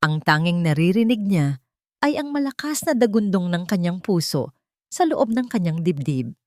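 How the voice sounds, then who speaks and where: A woman narrates a dramatic story from a script. Her voice is on the low side, with emphatic, suspenseful phrasing. The recording is close and dry, with little room sound. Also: very animated, normal speed, darkish tone, no room sound.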